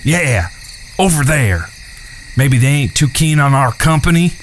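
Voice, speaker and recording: A shrewd, wry gunslinger from a Western audio drama, voiced by a man. His folksy speech moves widely in pitch. Crickets and clear ambient noise fill the space behind him. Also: lively intonation, a little slow, close to an everyday voice. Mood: focused, grumbling. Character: wry, shrewd middle brother, Old West gunslinger. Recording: noisy track with cricket-filled outdoor ambience.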